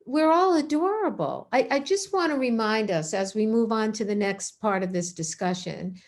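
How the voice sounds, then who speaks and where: A woman's voice: fairly high pitch, normal speed. A woman speaking as a panelist on a video call, chatty, breaking off and restarting her thoughts. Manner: warm-hearted, chatty, self-interrupting. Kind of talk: casual panel discussion.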